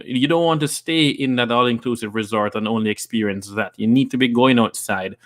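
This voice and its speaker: A man's voice: mid-range voice, a little fast. Man, Jamaican accent, young adult, casual livestream host, upbeat, reads chat comments aloud, mid-range voice. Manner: lively, reads out comments and reacts with enthusiasm.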